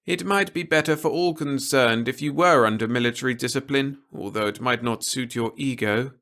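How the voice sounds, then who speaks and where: A man performs a stern, articulate official from an audiobook story. The voice is lifted well above a middle register, with deliberate, emphatic and formal delivery, like a lecture to someone stubborn. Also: mid-range voice, a bit bright, voice pushed up, close to an everyday voice. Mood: grave, measured. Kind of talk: audiobook character dialogue.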